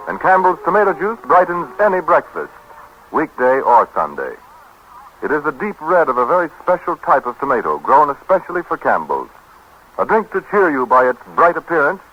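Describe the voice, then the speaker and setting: A man's voice: a little high, slight background noise. A man, a polished, salesmanlike 1930s radio announcer reading scripted copy. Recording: dull 1930s radio broadcast.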